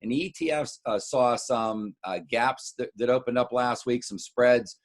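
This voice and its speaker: A middle-aged American man, a wealth adviser, gives an informal market recap to clients, halting and repeating words as he adds explanatory asides. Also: medium pitch.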